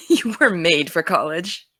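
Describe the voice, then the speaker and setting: A woman's voice: on the low side, sing-song, slow, sharp tone, no room sound, full character voice. An actress playing a gung-ho teenage girl podcaster, teasing and friendly, in a naturalistic audio drama. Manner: teasing, enthusiastic.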